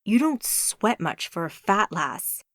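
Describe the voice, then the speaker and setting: A woman doing a wry, softer-spoken American man. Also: medium pitch, very animated, slow, no room sound, studio quality. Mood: mocking. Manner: mocking, wry.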